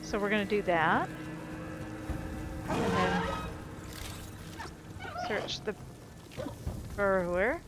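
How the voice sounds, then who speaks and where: A woman's voice: mid-range voice, very slow, pauses often, noisy background. A woman giving casual, informal video game commentary, talking to viewers as she plays. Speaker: gameplay commentator. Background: game music and sound effects.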